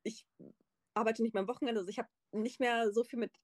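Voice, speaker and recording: A woman's voice: on the high side, fast, dry recording, studio quality. A woman pastry chef as a podcast guest, chatting casually with many fillers and the odd laugh. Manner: relaxed, laughing, filler-heavy. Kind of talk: casual interview chat.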